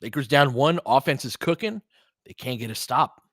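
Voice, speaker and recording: A man's voice: medium pitch, animated, moderate pace, fairly bright tone, no echo, studio quality. A man doing casual basketball play-by-play as a stream host, in clipped phrases with chatty asides to listeners.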